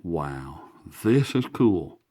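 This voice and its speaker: A man's voice: low-pitched, sing-song, drawn-out words, mellow. An American man giving a casual, hands-on product review in an enthusiastic, folksy way, recorded indoors. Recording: faint background noise, indoor room.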